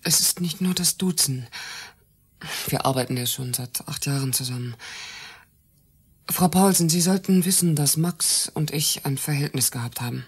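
A bitter, jealous secretary in a radio drama. Her voice is husky and her delivery reproachful, with hesitant pauses. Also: low-pitched. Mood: hesitant, polite. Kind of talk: naturalistic German radio play acting.

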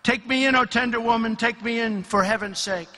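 Storybook snake, sly and insistent, high and bright played by a man, for a verse fable. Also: a little fast, exaggerated voice. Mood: pleading. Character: sly, pleading, vicious male snake from a fable. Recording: reverberant large hall.

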